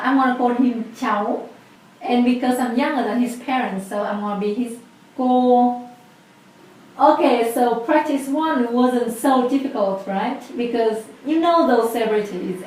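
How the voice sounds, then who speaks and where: A woman's voice: on the high side, heavy reverb, distant mic, slight background noise, rough recording. A woman in her twenties with a Vietnamese accent, patiently explaining a language lesson step by step. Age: 20s. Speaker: language teacher.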